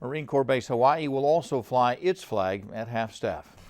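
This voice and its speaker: A man's voice: medium pitch, animated, normal speed. A man anchoring a television newscast, reading scripted stories in a formal news delivery.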